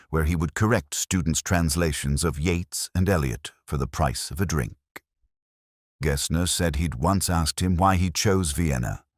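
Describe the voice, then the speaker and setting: A man playing a weary, brooding police inspector who narrates a mystery in a measured, literary, melancholy manner. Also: extremely low pitch, dry recording, studio quality, full character voice. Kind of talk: scripted first-person mystery narration.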